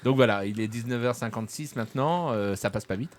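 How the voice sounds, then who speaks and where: A man's voice: medium pitch, brisk, a crowd in the background. A man hosting a live radio show, joking and teasing his co-hosts in casual banter. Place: radio studio.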